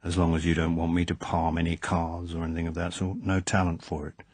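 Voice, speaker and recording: A man's voice: low-pitched, completely flat delivery, brisk, a little mellow, slightly rough, pitched below the performer's own voice. A man voicing a reserved older intelligence chief who speaks in clipped, dry phrases. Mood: wry. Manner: terse, clipped understatement.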